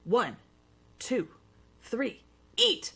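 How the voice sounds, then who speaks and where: A bossy storybook contest judge, a grown man who counts off loudly and scolds, as a woman reads him aloud. His voice bounces high and emphatic. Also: huge pitch swings, extremely slow, vocal fry, slightly rough, heavily acted. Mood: announcing. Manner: loud, announcing, exclaiming. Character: stern adult male pie contest judge.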